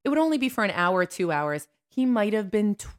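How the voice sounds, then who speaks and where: A woman's voice: mid-range voice, very animated, fairly quick. A woman in her thirties chatting and telling stories casually as a podcast co-host, in a playful, teasing way. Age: 30s. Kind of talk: casual chat and storytelling.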